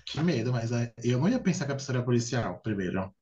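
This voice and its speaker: A man's voice: medium pitch, expressive, fast, warm tone, muffled recording. A Brazilian man chatting casually as a podcast co-host, with a campy, playful, exaggerated and slangy way of talking. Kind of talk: casual comedic chat, reacting to dating stories.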